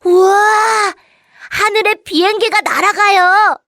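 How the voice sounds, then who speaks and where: An excitable little storybook boy, played by a woman, with a very high childlike voice. The delivery is wide-eyed and exclaiming, at an unhurried pace, and the sound is close and dry. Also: no echo, full character voice. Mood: excited. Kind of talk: children's audiobook character acting.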